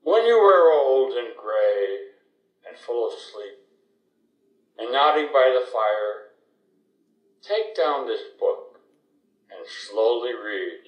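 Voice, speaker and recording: An elderly man speaking reflectively about poetry and reciting it in a measured way. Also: medium pitch. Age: older. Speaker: grandfather reading and discussing poetry. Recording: echoey room.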